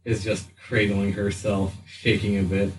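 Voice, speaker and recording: A man with a low voice narrates a tabletop roleplaying game as its game master. He improvises haltingly, sitting away from the microphone with a little noise around him. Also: little pitch movement, recorded from a distance. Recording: roomy, off-mic, faint room noise.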